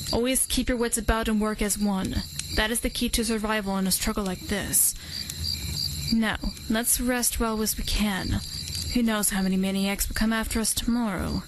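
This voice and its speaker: A woman playing a stern, protective elderly leader of a young band of fighters, who speaks in a measured, commanding way. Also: on the high side, background noise, lightly acted. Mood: reassuring. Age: older (figure). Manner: measured, commanding, matter-of-fact. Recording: night ambience with loud crickets.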